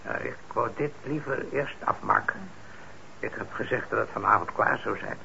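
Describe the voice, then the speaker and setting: A man's voice: fairly low pitch, normal speed, creaky, exaggerated voice. An actor playing a mild, patient old shopkeeper and father in a radio play, speaking hesitantly. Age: older. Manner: patient, hesitant, soft-hearted. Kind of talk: Dutch radio play acting. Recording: dull, noisy old radio recording.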